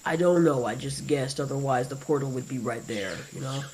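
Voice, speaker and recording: A woman voicing a hesitant, soft-spoken male digger in an audio drama. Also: very deep voice, brisk, voice pushed down, poor audio. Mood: unsure. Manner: hesitant, filler-heavy.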